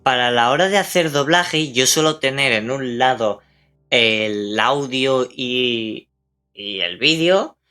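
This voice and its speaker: A man's voice: mid-range voice, slow, pushed and bright, clean tone. A man with a Castilian Spanish accent, an amateur dubber talking casually to camera. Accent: Castilian Spanish from Spain. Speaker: amateur dubber and video creator.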